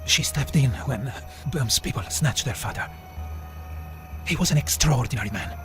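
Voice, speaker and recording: An actor playing an earnest, loyal resistance fighter in a video game, speaking gravely. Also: male voice, mid-range voice, expressive, moderate pace, over music, lightly acted. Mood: admiring, grave. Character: earnest, loyal male Italian partisan fighter. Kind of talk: video game cutscene acting.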